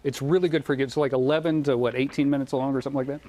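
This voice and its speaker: A man's voice: mid-range voice, fairly even intonation, rapid-fire, dark tone. A man hosting a morning TV show, chatting enthusiastically in an interview and often repeating his phrases for emphasis. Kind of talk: enthusiastic broadcast interview chat.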